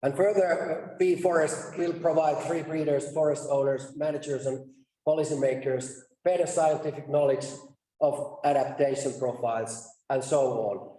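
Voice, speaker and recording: A man giving a conference presentation, halting and restarting mid-sentence as he walks through his slides. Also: mid-range voice, warm tone. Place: conference session room. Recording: echoey room, distant mic.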